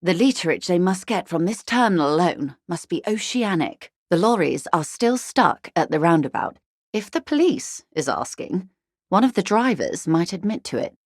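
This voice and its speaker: A woman playing a composed, tactful corporate negotiator in a video game, speaking in a formal, measured and diplomatic way, firm but courteous. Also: fairly low pitch, big pitch swings, no echo, clean studio sound. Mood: grave, measured. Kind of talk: video game character dialogue.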